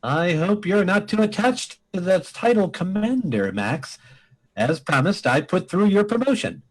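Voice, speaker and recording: A man's voice: high-pitched, big pitch swings, unhurried, heavily acted, rough recording. A man playing a blustery, folksy, commanding space admiral in a comic radio play, with brassy, emphatic military delivery. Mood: jovial, brisk. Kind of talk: comic old-time-radio-style acting.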